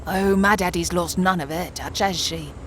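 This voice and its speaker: A woman's voice: fairly low pitch, normal speed, slight background noise, natural voice. A woman voicing an eccentric, menacing, ancient street crone in a comic dub, rambling and cajoling with old-fashioned endearments. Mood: sly, crooning. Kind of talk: comic dub character acting.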